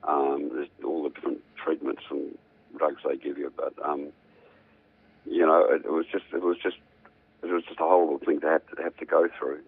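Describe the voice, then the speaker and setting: An older man, a musician, chatting in a rambling, relaxed way as a radio guest. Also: very deep voice, normal speed, creaky, breathy edge, narrow, tinny audio. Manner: rambling, hums riffs aloud.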